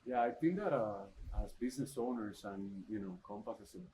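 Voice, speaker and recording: A man's voice: medium pitch, lively intonation, fairly quick, warm tone, rough recording. A man hosting a podcast interview, upbeat and chatty, often restarting his phrases. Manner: enthusiastic, stumbling restarts. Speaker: podcast host.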